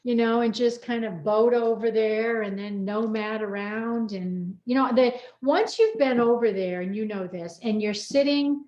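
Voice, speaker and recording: Higher-voiced woman in a roomy, dull recording. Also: darkish tone. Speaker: co-author hosting a personal chat. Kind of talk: casual chat, asking questions. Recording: roomy, slightly dull sound.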